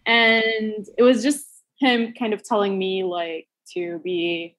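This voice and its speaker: A woman from Puerto Rico, a guest on a podcast, speaking in an unhurried, slightly nervous way and laughing often over a video call. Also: medium pitch, flat delivery, fairly bright tone.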